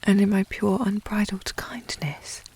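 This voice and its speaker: A woman's voice: mid-range voice, fairly even intonation, a little slow, darkish tone, husky. A woman playing a haughty, coy young lady of the house with a British accent, prim and formal, in an intimate historical romance ASMR roleplay. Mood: smug, teasing. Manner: prim, snooty, formal phrasing with coy indirectness.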